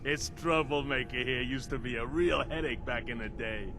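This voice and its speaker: An actor playing a boastful, tough-talking gangster boss with a sentimental streak in a video game, using old-time mobster slang. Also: male voice, mid-range voice, normal speed, noisy background, close to an everyday voice. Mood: gruffly nostalgic. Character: blustering gangster boss, male, sentimental under the swagger.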